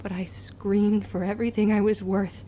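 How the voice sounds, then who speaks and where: A woman playing a grim, resolute young witch in an audio drama, measured and solemn in delivery. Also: medium pitch, little pitch movement, dark tone, slight background noise, lightly acted. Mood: bitter. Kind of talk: scripted first-person audio drama narration.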